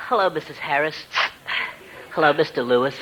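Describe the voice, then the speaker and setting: An actor playing a nerdy, polite and earnest teenage boyfriend in a 1950s radio comedy. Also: male voice, on the high side, moderate pace, highs cut off, close to an everyday voice. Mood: meek, polite. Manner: meek, earnest, drippy. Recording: noisy, crackly 1950s radio recording.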